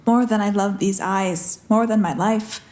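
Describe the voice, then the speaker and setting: A woman's voice: mid-range voice, a little slow, darkish tone, lightly acted. An actress playing an earnest, devoted young page disguised as a boy, in sincere Shakespearean audio drama style. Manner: sincere, theatrical.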